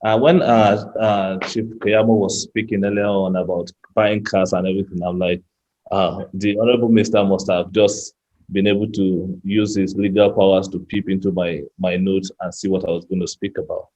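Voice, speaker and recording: A man's voice: low voice, little pitch movement, normal speed, darkish tone. A Nigerian man in his fifties giving a chatty personal talk as a guest speaker, often repeating words and restarting his sentences. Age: 50s. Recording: muffled lo-fi sound.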